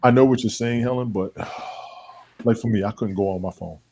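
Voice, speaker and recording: A man's voice: lowish voice, moderate pace, dark tone. A man chatting casually as a guest on a live show, emphatic and repeating his points. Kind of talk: casual chat.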